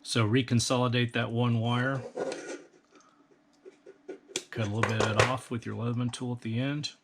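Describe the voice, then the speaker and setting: A man calmly explaining a hands-on build step by step, in a practical, methodical way. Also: mid-range voice, moderate pace, very noisy. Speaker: instructor. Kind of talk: step-by-step how-to instruction.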